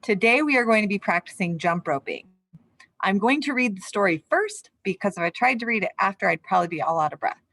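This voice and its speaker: A woman's voice: mid-range voice, expressive, fairly quick, a bit bright, dry recording. Woman teacher addressing young children, dry sound. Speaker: elementary physical education teacher. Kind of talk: children's lesson and storybook read-aloud.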